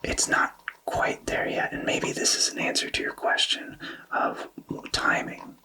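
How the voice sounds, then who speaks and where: A man softly whispering a tarot card reading in a thoughtful, explanatory way, with hesitant phrasing. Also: unhurried, distant mic. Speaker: tarot reader.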